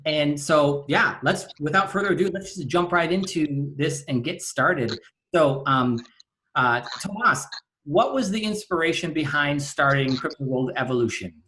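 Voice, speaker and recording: A man hosting his own channel, speaking in a fairly high, bright voice. He introduces his guest with eager enthusiasm, full of fillers and restarts, in a casual interview style. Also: expressive, normal speed, poor audio.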